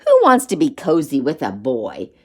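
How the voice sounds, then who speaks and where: A small, suspicious scalawag creature from a children's storybook acted out by a woman reader. It grumbles and dismisses, with pitch that moves about freely. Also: wildly swooping pitch, moderate pace, exaggerated voice. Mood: grumpy.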